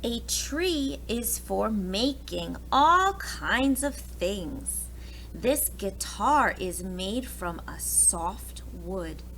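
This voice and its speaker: Female children's story reader, expressive teacher delivery, very unhurried, faint noise behind. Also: mid-range voice, low-quality recording. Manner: expressive, talks directly to young children. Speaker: preschool teacher reading aloud. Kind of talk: children's picture book read-aloud with asides.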